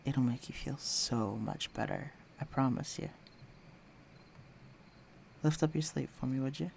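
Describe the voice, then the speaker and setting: A flirtatious male villain, acted by a man murmurs in a mid-pitched, creaky voice. He teases and coaxes the listener with a tender undertone, as in an intimate roleplay audio. Faint night ambience with crickets sits behind him. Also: unhurried, darkish tone, slight background noise. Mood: concerned, coaxing. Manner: teasing, coaxing, breathy murmurs.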